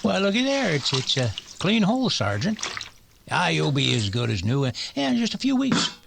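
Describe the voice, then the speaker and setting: A man playing a kindly, excitable frontier doctor in a western radio drama, with a folksy, stammering way of talking. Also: on the high side, moderate pace, creaky, noisy background, heavily acted. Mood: cheerful.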